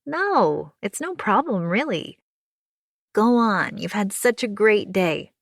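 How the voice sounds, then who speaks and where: A woman's voice: mid-range voice, very animated, takes it slowly, creaky, no echo, clean studio sound, voice pushed up, full character voice. A woman reading aloud and voicing a friendly, helpful woman acquaintance who talks in an upbeat, soothing way. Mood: reassuring.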